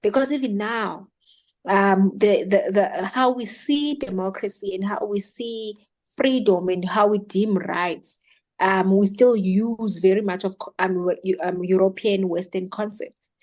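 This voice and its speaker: A woman's voice: mid-range voice, measured pace, a little mellow. Hesitant woman host posing a question. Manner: hesitant, searching for words while framing a question. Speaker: podcast host. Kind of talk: interview questions.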